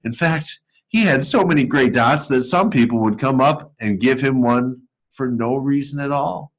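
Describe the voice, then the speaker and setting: A man reading a picture book aloud to schoolchildren as an educator, telling it expressively and deliberately. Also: medium pitch. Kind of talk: storybook read-aloud for children.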